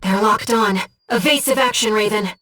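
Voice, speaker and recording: A gentle disembodied female being, played by a woman serves as a devoted mission guide in a video game. Her medium-pitched voice is composed and earnest, with precise phrasing, and sits a little away from the mic. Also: moderate pace, far from the mic, soft background music, close to an everyday voice. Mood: urgent, alert.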